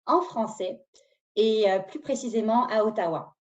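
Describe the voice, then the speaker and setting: A woman from France with a French accent, giving a clear, slightly scripted webinar presentation about immigration. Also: on the high side, measured pace. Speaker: immigration promotion officer. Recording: dull lo-fi audio.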